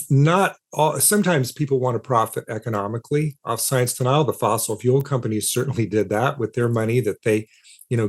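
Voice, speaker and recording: A man speaking as an expert guest in an interview, explaining emphatically with halting, repeated starts to his sentences. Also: medium pitch. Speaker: interview guest, philosopher of science and author.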